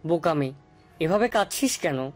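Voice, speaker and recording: A man's voice: on the high side, expressive, normal speed, faint music behind, natural voice. A man playing a tender, middle-aged widowed father in an audio story drama. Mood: gently soothing. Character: tender, grieving widowed father of a grown daughter.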